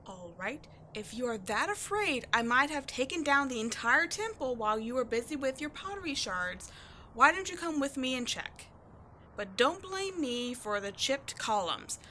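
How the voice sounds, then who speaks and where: Lady playing a cocky tomboy adventurer girl, high bright voice, snarky and slangy, audio roleplay. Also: normal speed, a little hiss or hum, exaggerated voice. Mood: defensive, cocky. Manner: sarcastic, slangy, exasperated, expressive. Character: brash, cocky tomboy adventurer woman, sarcastic and defensive. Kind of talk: scripted ASMR-style audio roleplay, one-sided dialogue.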